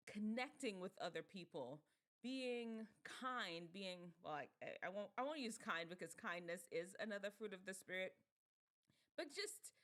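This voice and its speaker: Brief: Jamaican woman hosting a casual teen talk show, animated and chatty. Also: mid-range voice. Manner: animated, laughs often, muses aloud. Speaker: show host.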